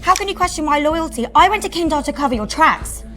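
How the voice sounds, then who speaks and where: An indignant woman employee protesting her loyalty. Her high voice comes quickly in a film drama scene, with music underneath. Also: animated, bright, forceful tone. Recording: music and voices behind the dialogue.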